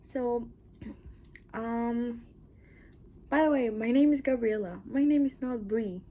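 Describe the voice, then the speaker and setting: Soft-spoken woman with a Mexican accent. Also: fairly high pitch, slow. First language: Spanish. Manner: chatty, rambling, restarts and asides. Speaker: channel host. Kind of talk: casual personal vlog.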